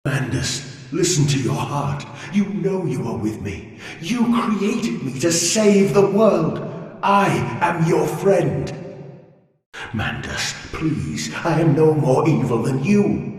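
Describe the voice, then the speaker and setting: A sentient machine that believes itself a god, booming from far off in a vast echoing hall. Its oratory is slow and theatrical, swooping widely between coaxing and menacing rants, in the manner of a dark video game villain. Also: male voice, big pitch swings. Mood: pleading, persuasive. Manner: theatrical, oratorical delivery, swinging from coaxing to ranting. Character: grandiose sentient machine god, pleading, menacing, male-voiced. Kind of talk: dramatic video game monologue. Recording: cavernous echoing hall, distant voice.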